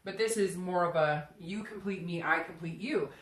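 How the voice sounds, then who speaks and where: Low-pitched woman, roomy echo. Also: moderate pace. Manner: conversational, often voices imagined quotes in first person. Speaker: tarot reader hosting a reading. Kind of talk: casual, unscripted tarot reading addressed to the listener. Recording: roomy, echoing room sound.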